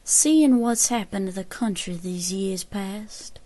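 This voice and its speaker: An actress playing a grave 1870s American woman survivor, giving a measured, formal account in an audio drama. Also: mid-range voice, warm tone, natural voice. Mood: somber, regretful. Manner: measured, formal old-fashioned storytelling.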